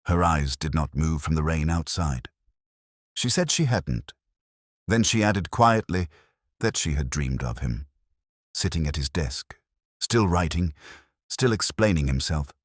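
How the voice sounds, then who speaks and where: Casting: male narrator, middle-aged, extremely low husky voice, measured and brooding, for literary detective fiction. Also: no room sound, studio quality. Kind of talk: scripted first-person audiobook narration.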